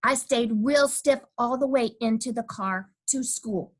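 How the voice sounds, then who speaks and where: A woman's voice: on the high side, measured pace. A woman voicing a cheeky, stubborn kindergarten girl who tells her own story with childish, emphatic, dramatic delivery. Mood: matter-of-fact. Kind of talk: first-person children's storybook reading.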